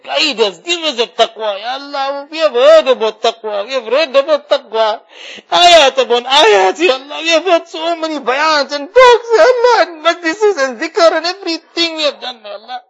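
A man leading an impassioned supplication, pleading tearfully and repeating his phrases with deep emotion. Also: expressive, normal speed, fairly bright tone, lo-fi sound. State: tearful, crying. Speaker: prayer leader. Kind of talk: impassioned supplication prayer, public. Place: mosque.

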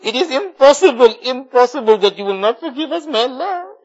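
A man leading an impassioned supplication, pleading tearfully and repeating his phrases with deep emotion. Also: animated, fairly quick, dull audio, soft background music. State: tearful, crying. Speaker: prayer leader. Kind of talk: impassioned supplication prayer, public. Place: mosque.

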